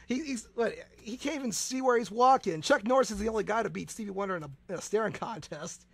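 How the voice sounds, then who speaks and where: A man hosting a comedic radio show, excitable and stammering through restarts, now and then shouting. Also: high-pitched, fast talker, vocal fry, husky.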